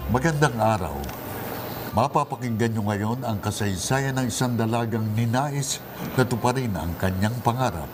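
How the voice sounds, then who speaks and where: A man's voice: mid-range voice, moderate pace, music in the background, lightly acted. A man playing a formal radio drama narrator, announcing a story in a declamatory style.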